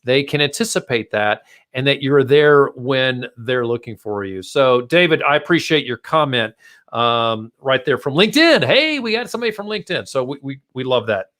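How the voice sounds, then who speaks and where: A man's voice: medium pitch, animated, moderate pace, a bit bright. A man hosting a casual marketing podcast, chatting informally and speaking straight to his listeners. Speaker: podcast host.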